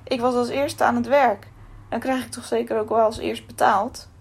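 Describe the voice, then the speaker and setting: A woman voicing a furious male laborer who rants loudly about unfair pay. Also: fairly high pitch, fairly even intonation, moderate pace, breathy edge. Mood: puzzled. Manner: loud ranting complaints.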